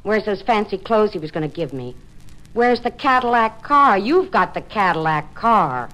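An actress playing a bitter, sharp-tongued wife stuck at a backwoods camp in a radio drama. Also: female voice, medium pitch, sing-song, moderate pace. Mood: bitter, nagging. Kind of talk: 1950s radio drama acting. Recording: faintly noisy old radio recording.

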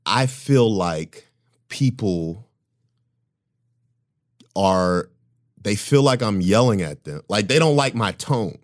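A man with a deep voice co-hosting a casual podcast, talking emphatically and often laughing. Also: animated, slow, long pauses. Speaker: podcast co-host. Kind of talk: casual chat.

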